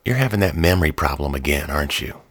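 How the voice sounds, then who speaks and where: A man reading an audiobook, voicing a nervous, eager-to-please woman in her mid-thirties with a soft, anxious manner. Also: extremely low pitch, animated, quick pace, dry recording, full character voice. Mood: worried. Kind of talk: audiobook character voice.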